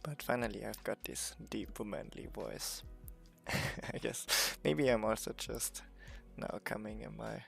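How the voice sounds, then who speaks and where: Hoarse guy streaming from an untidy uni office, chill and jokey, super gravelly, takes his time. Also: on the low side, a little slow, soft background music. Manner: relaxed, chatty, self-mocking, trails off into asides. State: hoarse, recovering voice. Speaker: game developer streaming his work. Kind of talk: casual livestream chat. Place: messy university office.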